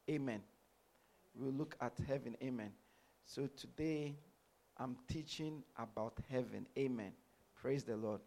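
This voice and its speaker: A man's voice: medium pitch, dark tone. A man from Ghana preaching a sermon as pastor, saying amen after nearly every sentence.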